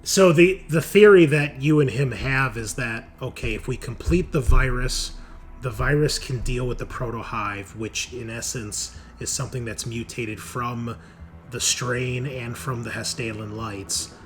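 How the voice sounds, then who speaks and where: A man running a tabletop horror game as game master, improvising narration in a halting, conversational way and thinking aloud. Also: medium pitch, faint music behind. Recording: noticeable background noise.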